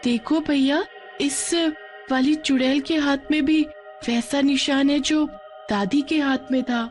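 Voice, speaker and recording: A woman's voice: sits high, fairly even intonation, slow pace, voice pushed up. A woman voicing a sweet, trusting little sister in a cartoon story, polite and childlike. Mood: amazed. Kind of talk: Hindi cartoon story dubbing. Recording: music behind the voice.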